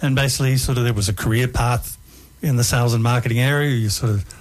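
An older man with an Australian accent recounts his working life on air. He speaks at a middling pitch, unhurried and a little hesitant, filling his pauses with ums. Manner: relaxed, hesitant with frequent ums. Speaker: guest. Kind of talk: life story interview.

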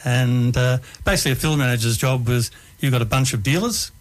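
An older Australian man telling his life story as a radio guest, relaxed and hesitant, with frequent ums. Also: mid-range voice, fairly quick.

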